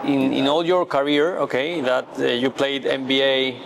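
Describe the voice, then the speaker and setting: A man hosting a casual interview, asking questions in halting, non-native phrasing. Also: medium pitch, a loud crowd. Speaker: interviewer, host. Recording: clear steady background noise.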